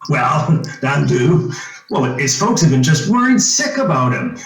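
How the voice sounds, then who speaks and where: An echoing radio-play voice with music behind it: a man playing a folksy, wheedling ex-police chief. He is somewhat high-pitched, swoops around a lot, and stammers his way into every pitch he makes. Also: big pitch swings, a little slow, creaky, exaggerated voice. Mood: eager, coaxing. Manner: hesitant, stammering, folksy, coaxing. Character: insistent, wheedling former small-town police chief. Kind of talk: old-time radio drama acting. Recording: roomy echo, music underneath.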